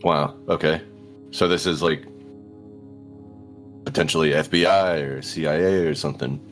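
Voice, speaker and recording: Deep-voiced man playing a tabletop game. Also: steady tone, moderate pace. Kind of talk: casual in-game chat. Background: faint background music.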